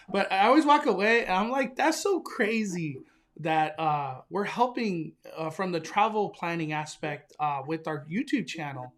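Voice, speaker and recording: A young man hosting a casual live stream, excitable and enthusiastic, often stumbling and restarting his sentences. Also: high voice. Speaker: livestream host.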